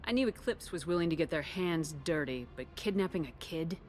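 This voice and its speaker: An actress voicing a steady, probing woman commander in a video game, speaking in a measured, direct way. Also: low voice, soft background music. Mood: firm, direct.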